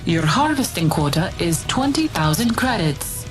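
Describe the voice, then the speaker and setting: A woman's voice: lowish voice, very animated, unhurried, music in the background. A woman voicing a cold, efficient military computer assistant in a video game, curt and procedural. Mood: cool, businesslike. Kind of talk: video game mission announcements.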